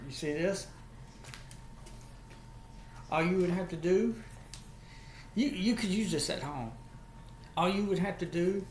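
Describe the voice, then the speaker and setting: A man with a Southern US accent casually showing and explaining an item as a video host, in a folksy, repetitive way. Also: fairly high pitch. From United States, Alabama. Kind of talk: casual vlog product show-and-tell. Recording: echoey room with faint background noise.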